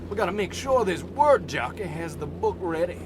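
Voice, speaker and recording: A man's voice: high voice, lively intonation, normal speed, background noise. A man playing a cocky, wisecracking hired goon with a blustery tough-guy manner in an old-time radio comedy. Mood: excited, blustering.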